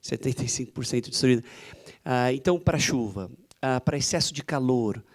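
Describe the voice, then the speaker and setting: A Brazilian man moderates a panel discussion in a medium-pitched voice. He is conversational and inquisitive, building his points through a run of questions to the guest. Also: moderate pace. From Santos, Brazil. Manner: inquisitive, strings questions together.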